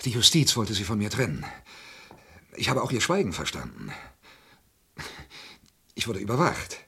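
Lovesick wounded killer in a radio crime drama, husky voice, really swinging pitch. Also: male voice, huge pitch swings, moderate pace, exaggerated voice. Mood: subdued, tender. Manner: impassioned, swinging from hushed to outraged. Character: intense, wounded, lovesick man who confesses to murders. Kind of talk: dramatic radio play acting.

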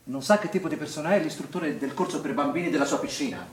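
A man's voice: a little high, quick pace, close to an everyday voice. An actor playing a suspicious, confrontational father in a naturalistic stage drama, speaking in an insistent, accusatory, curt way. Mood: defensive, accusing. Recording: roomy, distant, noisy stage recording.

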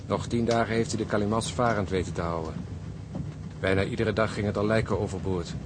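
An actor playing a solemn, pious ship's officer in a radio drama, speaking gravely and soberly. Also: male voice, fairly even intonation, brisk, close to an everyday voice. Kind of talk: Dutch radio drama acting. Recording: rumbling engine noise behind.

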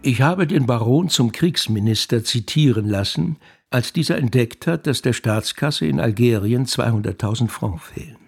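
A man's voice: mid-range voice, lively intonation, normal speed, vocal fry, slightly rough. An actor playing a wry, self-satisfied novelist who narrates and muses on his characters in a German radio drama, reflective and conversational.

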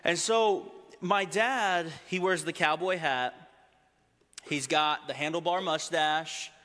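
A young adult man from Texas giving a casual, humorous sermon to a congregation, slowing down to read scripture. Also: on the high side, sharp tone. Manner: conversational, humorous, slows down when reading scripture. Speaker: preacher. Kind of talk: sermon with storytelling. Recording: long hall reverb.

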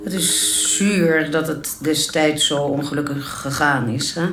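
Slow, measured hospital specialist. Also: male voice, fairly high pitch, fairly even intonation. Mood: measured, grave. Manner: measured, formal. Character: measured, matter-of-fact hospital specialist, formal, mildly detached. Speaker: doctor. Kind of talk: radio drama acting. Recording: echoey room with loud music. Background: loud music.

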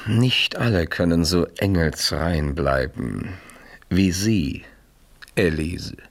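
A man's voice: sits low, slow, exaggerated voice. A male actor in a radio play, playing a lovesick, impetuous French marquis with ornate, theatrical speech. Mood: wry, wounded. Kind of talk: 1970s German radio play acting.

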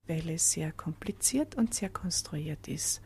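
A woman's voice: sits low, expressive, measured pace, a little mellow, breathy edge, whispered. A woman explaining her opinion tentatively in a spoken interview.

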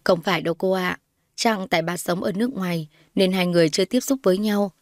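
A woman's voice: lowish voice, fast, darkish tone, dry recording, studio quality, close to an everyday voice. A woman voicing a motherly household nanny, respectful and reassuring, in a dramatized audio story. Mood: comforting. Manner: comforting, respectful.

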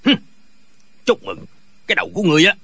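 A man playing a gruff, fretful old father from the martial-arts world in a dramatized audiobook, speaking emphatically. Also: medium pitch, big pitch swings, fast talker, pushed and bright, no room sound, heavily acted. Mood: angry, fearful. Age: older. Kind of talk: dramatized wuxia audiobook dialogue.

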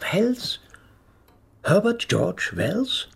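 An actor playing a male psychotherapist in a German radio drama, analytical, formal and nervously amused, with a husky, gravelly voice. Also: high voice, sing-song, drawn-out words, heavily acted. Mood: sceptical. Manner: hesitant, formal, clinical phrasing.

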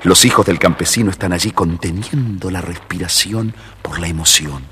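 A man's voice: low-pitched, normal speed, fairly bright tone, a little hiss or hum. A man narrating a scripted children's story expressively as storyteller.

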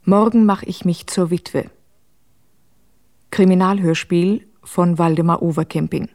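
A woman's voice: lowish voice, fairly even intonation, a little slow, a little mellow. A woman announcer reading scripted radio drama credits in a formal, factual manner.

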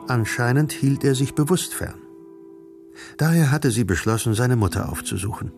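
A man's voice: mid-range voice, expressive, normal speed, gravelly, over music. A man narrating a radio drama as a composed literary storyteller, reading scripted prose.

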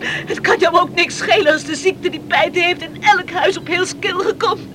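A woman's voice: extremely high pitch, measured pace. An actress playing a distraught, reproachful young island woman in a Dutch radio play, tearful and pleading. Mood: upset, accusing. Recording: old radio recording with faint noise.

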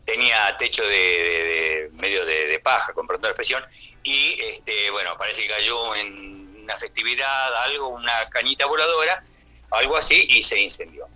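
A man's voice: on the low side, moderate pace, bright, forceful tone, poor audio. An older man with a Rioplatense Argentine accent, speaking as a theatre actor on the radio in a chatty, rambling, conversational way with frequent question tags.

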